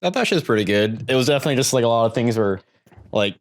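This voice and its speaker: A man co-hosting a podcast, discussing films casually in a halting, hedging way. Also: medium pitch, animated. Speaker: podcast co-host.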